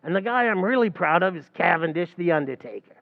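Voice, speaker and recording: Actor as a scheming New barman, high and bouncy, lo-fi radio comedy. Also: animated, fast talker. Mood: wisecracking. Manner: wisecracking, mangles grammar. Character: fast-talking scheming male tavern manager. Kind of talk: 1940s radio acting.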